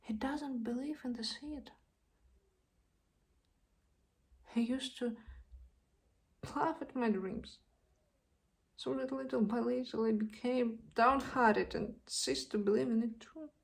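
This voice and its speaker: High-voiced, slow, distraught young actress, played by a woman. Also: lively intonation, exaggerated voice. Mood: downhearted, wistful. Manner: halting, repeats words, swings from hushed to loud. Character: distraught, exhausted young actress clinging to faith. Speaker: actress performing a monologue. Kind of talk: theatrical stage monologue.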